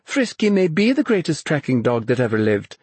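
A man voicing an excitable, proud young woman dog-sled driver in an audiobook, exclaiming with fondness for her dog. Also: a little high, brisk, slightly rough, dry recording, voice pushed up. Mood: eager. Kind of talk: audiobook character voice.

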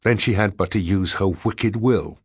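A man's voice: on the low side, normal speed, slightly rough, no echo, clean studio sound. A man voicing a tormented, vengeful professor in an audiobook, impassioned and melodramatic. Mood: anguished.

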